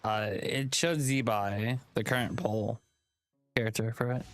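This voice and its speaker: A man's voice: medium pitch, steady tone, unhurried. A man streaming a game live, giving casual, rambling commentary as he thinks aloud and chats.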